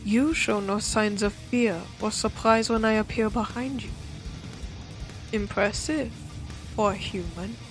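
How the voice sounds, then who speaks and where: A woman's voice: mid-range voice, a little slow, over music, lightly acted. A woman voicing a composed, formal non-human observer in an audio roleplay, analytical and detached yet curious. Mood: detached, intrigued.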